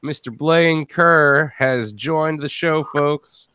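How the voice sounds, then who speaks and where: A man hosting a casual panel chat as a podcast host, in a jokey manner and quick to laugh. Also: on the high side, bright, forceful tone, clean tone, dry recording.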